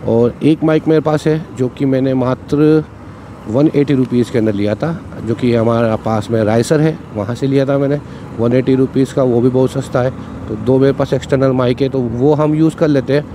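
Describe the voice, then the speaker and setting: A young man chats and explains as he rides a motorbike through town, his voice sitting in a middling register. Steady traffic and road rumble run clearly under his words. He speaks into a cheap mic worn on his body. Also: moderate pace. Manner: chatty, explanatory, repeats "ठीक है". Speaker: moto vlogger. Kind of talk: casual vlog talk while riding. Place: riding a motorcycle on city roads outdoors. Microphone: cheap wired external mic on rider. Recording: traffic noise from riding outdoors.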